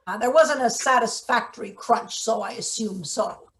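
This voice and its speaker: A sardonic older forewoman played by a woman in a satirical stage play reading. Her voice sits fairly high, and she talks in dry, wordy sarcasm with a hard, bossy edge. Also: moderate pace, fairly bright tone, natural voice. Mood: sardonic, scolding. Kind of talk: live table read of a satirical play.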